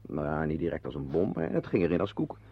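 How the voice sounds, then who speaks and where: A man's voice: extremely low pitch, sing-song, fast, creaky, no room sound, exaggerated voice. A man playing a weary, wry playwright who narrates a radio play, confiding in listeners in a dry, digressive way. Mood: wry, reflective. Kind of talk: intimate first-person radio play narration. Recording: dull lo-fi radio play recording.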